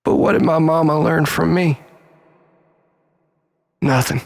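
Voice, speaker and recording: A man delivers a dramatic first-person story in a slightly high, gravelly voice. His telling is theatrical and melodramatic, moving between loud outbursts and breathy, hushed passages. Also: fairly even intonation, measured pace. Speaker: storyteller performing a comic monologue.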